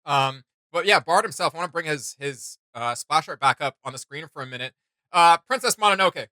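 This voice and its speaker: Bright, forceful man chatting casually. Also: a little high, lively intonation, fairly quick, no echo. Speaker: co-host of a reaction show. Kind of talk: casual reaction chat.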